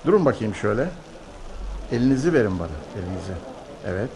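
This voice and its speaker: An actor playing an unhurried, reassuring doctor in a radio drama, halting and muttering as he repeats his phrases. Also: fairly low pitch, sing-song, a crowd in the background. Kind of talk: Turkish radio drama acting.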